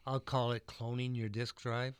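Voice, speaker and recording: A man's voice: medium pitch, flat delivery, clean tone. A man who teaches computer classes, chatting casually on a radio show with stumbling restarts. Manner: chatty, stumbling restarts, reads contact details slowly.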